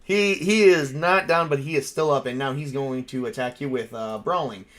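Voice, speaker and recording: A man casually narrating a tabletop role-playing game as game master, excitable and quick to swear. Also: medium pitch.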